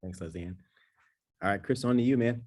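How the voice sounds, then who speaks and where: A man's voice: lowish voice, brisk, darkish tone. A man moderating a panel over a video call, casual and loose, peppering his speech with "like". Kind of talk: casual hosting.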